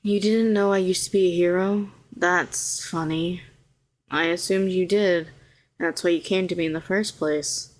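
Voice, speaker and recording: A woman performs a telepathic villainess in an intimate audio drama. She is a fallen hero with a low voice, sardonic on the surface and protective underneath. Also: steady tone, unhurried, natural voice. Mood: guarded, wry. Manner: sharp and sardonic, turning soothing and coaxing. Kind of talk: intimate scripted audio roleplay.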